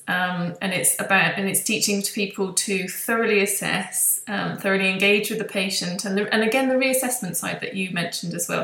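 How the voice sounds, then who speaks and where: Female interviewer, medium pitch, conversational and agreeable, rambling phrasing, echoey recording. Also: fairly bright tone. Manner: agreeable, rambling, rephrasing as she goes. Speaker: interviewer, physiotherapist. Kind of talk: casual interview chat. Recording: echoey room sound.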